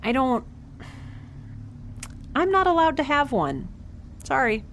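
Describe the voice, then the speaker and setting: Woman playing a lively, high-voiced, awkward space peacemaker. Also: slow, heavily acted. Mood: awkward, wry. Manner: hesitant, conversational, wry. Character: quippy, awkward, peace-making young woman on space station. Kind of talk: audio drama acting. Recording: faint background noise.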